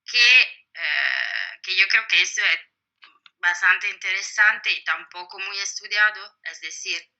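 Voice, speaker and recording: A woman's voice: medium pitch, measured pace, sharp tone, rough recording. A woman speaking with an Italian accent, a native Italian speaker answering interview questions as an author, thoughtful and full of hesitations. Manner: thoughtful, many hesitations and fillers.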